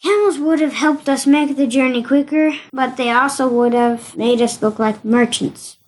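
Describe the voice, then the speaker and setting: A woman's voice: high voice, normal speed, close to an everyday voice. A woman playing a weary, sarcastic male monk who grumbles drily in an amateur audio play. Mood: weary, dry.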